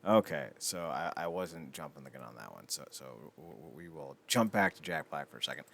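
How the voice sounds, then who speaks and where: A man's voice: extremely low pitch, animated, a little fast, creaky, fairly bright tone. A man hosting a podcast in casual chat about films, rambling along with blunt, wry opinions. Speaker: podcast host.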